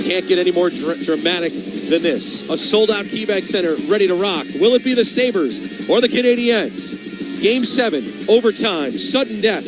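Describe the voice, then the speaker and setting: A man's voice: high voice, poor audio. A man hosting a live radio intermission show during a hockey game, broadcasting from the arena.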